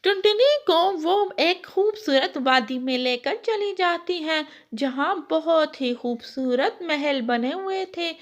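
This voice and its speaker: A woman's voice: high-pitched, expressive, slow, hardly any pauses. A woman narrating a scripted children's story as the storyteller.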